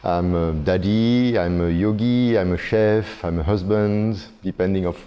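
A man's voice: low-pitched, a little slow, dull audio. A man in his fifties, originally from Belgium, a chef being interviewed, speaking hesitantly and often repeating words as he searches for phrasing. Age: 50s. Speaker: chef, guest. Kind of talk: conversational interview.

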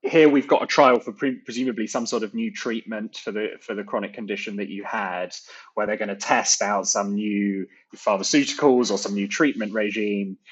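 A man hosting an academic interview, speaking hesitantly and filling pauses with "uh" and "you know.". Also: on the low side, steady tone, slightly rough. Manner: hesitant, many fillers. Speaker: podcast host. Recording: dull lo-fi audio.

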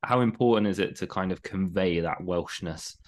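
Co-host, deep voice, easygoing chat, trails into his questions. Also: normal speed. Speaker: podcast co-host. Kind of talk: casual podcast chat.

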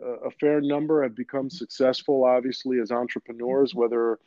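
A man from Queens, New York, whose first language is Greek, explaining his path to becoming an orthopedic surgeon in a measured way with frequent fillers. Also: mid-range voice, little pitch movement, warm tone, no room sound. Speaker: orthopedic surgeon, podcast guest. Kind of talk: conversational interview answers. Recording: dull, dry, lo-fi sound.

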